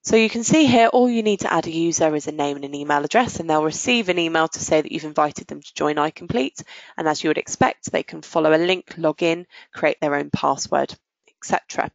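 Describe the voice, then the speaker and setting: A woman's voice: deep voice, brisk, gravelly, no room sound, lo-fi sound. A woman giving a live product demonstration, explaining each step in an informal business tone. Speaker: software demonstrator. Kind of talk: live product demo walkthrough.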